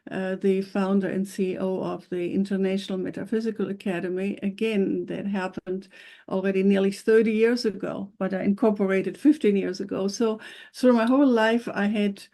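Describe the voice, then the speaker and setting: An older woman from Germany speaks with a German accent. Her voice is warm and mellow at a middle pitch. She tells personal stories in a reflective, conversational way. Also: fairly even intonation, normal speed. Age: 60s or older. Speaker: interview guest, academy founder.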